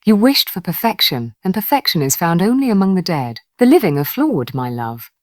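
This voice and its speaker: A low-voiced undead husband played by a woman storyteller, cold and possessive in an eerie folktale. His slow, coaxing lines swing in pitch while staying tender and menacing. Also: big pitch swings, dry recording, clean studio sound.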